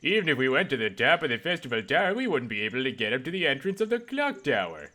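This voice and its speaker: A man's voice: medium pitch, big pitch swings, quick pace, pushed and bright. A man voicing an oblivious, breezy village foreman who overexplains in a mock-casual, sarcastic way, reading video game dialogue as parody. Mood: mock-apologetic.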